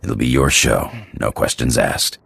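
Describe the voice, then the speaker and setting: Gruff cocky space pirate for a game, super low husky growl, slangy. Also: male voice, a little slow, a bit bright, dry recording, heavily acted. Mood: eager, persuasive. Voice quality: gravelly, husky. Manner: rough slangy talk. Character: gruff, cocky space pirate pilot, hot-tempered rogue. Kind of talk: video game voice acting.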